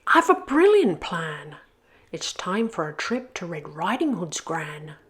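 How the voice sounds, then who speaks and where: A woman's voice: on the low side, big pitch swings, takes it slowly, fairly bright tone, full character voice. A woman voicing a cunning, vain male wolf with a boastful, gloating manner in a children's story. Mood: scheming.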